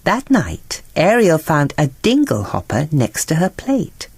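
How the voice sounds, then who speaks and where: A woman narrating a bedtime story in an expressive, measured and dramatic way. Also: deep voice, breathy edge, no room sound. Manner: dramatic, measured, acts out characters.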